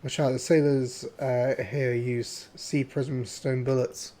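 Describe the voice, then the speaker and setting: A hoarse man streaming a game, chatting casually and rambling with many fillers and restarts. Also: medium pitch, level tone, slow, warm tone. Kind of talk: casual gameplay commentary.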